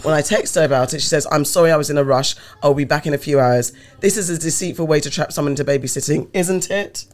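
A woman with a low, raspy voice, telling a story in casual chat with friends. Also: fast talker, few pauses. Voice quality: low voice with a rasp. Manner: animated, dramatic storyteller.

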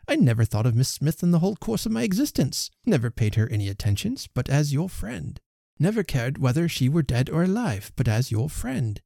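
A man's voice: medium pitch, vocal fry, warm tone, no echo, studio quality. A man reading the part of a pompous young clergyman who protests his devotion and takes offence. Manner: effusive, then haughty.

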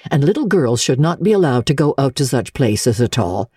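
A woman's voice: very deep voice, sing-song, moderate pace, mellow, slightly rough, dry recording, clean studio sound, full character voice. A woman voicing a stern, no-nonsense older woman guardian in a storybook reading, curt, emphatic and sarcastic. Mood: stern, scolding. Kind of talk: dramatic audiobook reading of classic literature.

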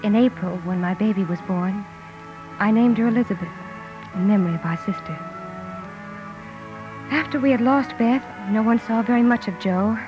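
An actress playing a gentle, caring married sister who narrates and acts in a sentimental 1950s radio drama. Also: female voice, mid-range voice, normal speed, long pauses, lightly acted. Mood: wistful, narrating. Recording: dull old radio recording with music.

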